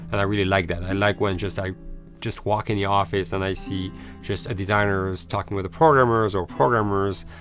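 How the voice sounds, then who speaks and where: A man in an interview, talking informally and haltingly, often rephrasing himself. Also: sits low, fairly even intonation. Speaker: game studio executive. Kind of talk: interview talk. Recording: guitar music behind the voice.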